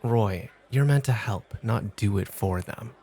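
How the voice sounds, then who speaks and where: Man acting a cool, exacting club host, gravelly. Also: lowish voice, dark tone. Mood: polite, cool. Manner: challenging, measured, coolly teasing. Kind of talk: ASMR roleplay acting.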